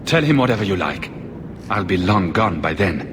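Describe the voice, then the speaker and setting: An actor playing a desperate, vengeful blood mage in a video game, grave and defensive. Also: male voice, low voice, very animated, normal speed, background noise. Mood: defiant. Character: desperate, vengeful blood mage hunting his sister's killer. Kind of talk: dramatic video game acting. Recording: long hall reverb under loud game music.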